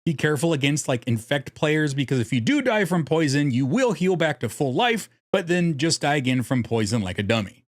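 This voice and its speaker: A man's voice: fairly high pitch, very animated, a little fast, few pauses, dry recording, studio quality. A man narrating a scripted strategy guide in a jokey, irreverent way, casually swearing as host. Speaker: host of a card game deck guide.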